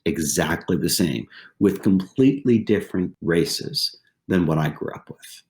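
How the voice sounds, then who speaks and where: A man in his forties with a very low voice, speaking as a podcast guest. He tells his stories casually, circling back to repeat phrases and checking in with "right?". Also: expressive, a little slow, darkish tone. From southwestern Pennsylvania, USA. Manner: conversational, repeats phrases, tags lines with "right?". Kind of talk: casual interview storytelling.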